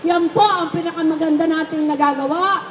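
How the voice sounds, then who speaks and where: A woman's voice: very high voice, fairly even intonation, brisk, highs cut off. A Filipino woman speaking publicly as a rally speaker, urging her listeners on. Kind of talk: address to a rally. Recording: echoey, slightly noisy rally loudspeaker.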